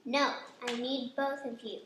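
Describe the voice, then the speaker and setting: A woman acting an anxious little kid in a radio play. The voice is high and slow-paced, in a roomy recording with noise behind it. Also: level tone, fairly bright tone. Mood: hesitant, sweet. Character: hesitant, anxious young child of the family. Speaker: actor in an amateur radio play.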